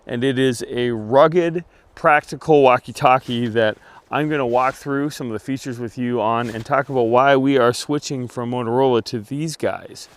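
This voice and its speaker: A man giving a casual outdoor gear review, speaking in a halting way as he thinks aloud. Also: mid-range voice.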